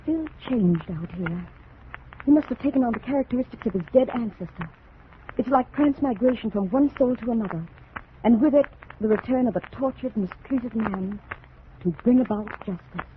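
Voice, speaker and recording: A woman's voice: a little high, expressive, normal speed, lightly acted. A woman playing an earnest, troubled young plantation woman in 1940s radio melodrama, with a dramatic delivery. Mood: grave, wondering. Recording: tinny, noisy old radio recording.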